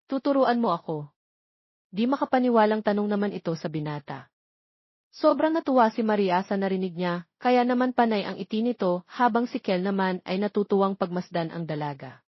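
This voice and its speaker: A woman's voice: mid-range voice, animated, a little fast, no echo, dull audio. A woman with a Filipino accent reading a scripted romance audiobook, voicing the dialogue as she goes. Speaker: audiobook narrator. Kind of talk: scripted romance story narration with voiced dialogue.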